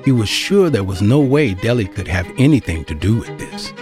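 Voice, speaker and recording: A woman's voice: very deep voice, animated, normal speed. A woman narrating a scripted audio drama as its storyteller. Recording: music behind the voice.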